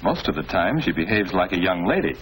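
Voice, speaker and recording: Expressive low-voiced American schoolmaster. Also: male voice. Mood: patient, instructive. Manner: explanatory, measured, fatherly. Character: patient, avuncular male school principal or teacher. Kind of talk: 1940s educational film acting. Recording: noisy old 1940s film soundtrack.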